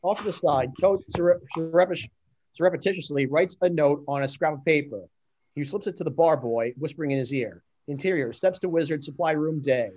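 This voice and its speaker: A man's voice: a little high, a little fast, a little mellow, lo-fi sound. A man reading stage directions and chatting in an amateur script club.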